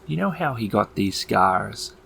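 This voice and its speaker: Man acting out unhinged elf murder clown, low-pitched, gravelly, theatrical, mocking, tabletop game villain. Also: big pitch swings, fairly bright tone, slight background noise, heavily acted, rough recording. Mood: mocking, menacing. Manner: theatrical, slow taunting delivery with sudden outbursts.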